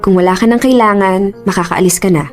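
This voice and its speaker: A woman's voice: medium pitch, a little fast, close to an everyday voice. An actress playing a composed but caring young female office boss in a Filipino radio drama, speaking earnestly with some English mixed in. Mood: firm. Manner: earnest, conversational, mixes English into speech. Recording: music under the voice. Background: background music.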